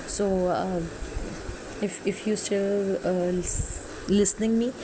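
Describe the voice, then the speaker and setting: A woman's voice: medium pitch, steady tone, takes it slowly. Non-native reader, a woman whose first language is Urdu; hesitant, self-correcting delivery over a noisy background. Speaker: YouTuber reading an interactive story. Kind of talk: reading an interactive story aloud.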